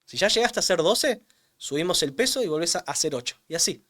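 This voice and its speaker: This is a man, talking as an interview guest. He explains with emphasis and leans on repeated words. His voice sits a little high for a man. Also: lively intonation, fairly quick, fairly bright tone. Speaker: strength coach for older adults, guest. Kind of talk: interview answers.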